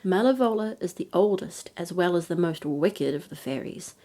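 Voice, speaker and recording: Mellow woman reading a bedtime story. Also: on the low side, lively intonation, normal speed. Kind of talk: gentle scripted narration of a fairy tale.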